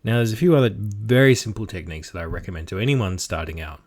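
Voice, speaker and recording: A man's voice: on the low side, quick pace, darkish tone. A man giving a casual tutorial on how to perform characters as a game master. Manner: demonstrative, switches between explanation and voices. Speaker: game master teaching technique.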